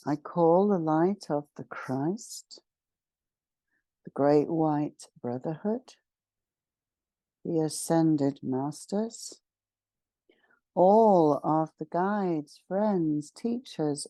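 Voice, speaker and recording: Very slow, mellow woman leading meditation. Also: low voice, dry recording. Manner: solemn, measured, ceremonial, with long pauses between short phrases. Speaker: guided meditation leader. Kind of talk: guided meditation, spiritual invocation and channelled message.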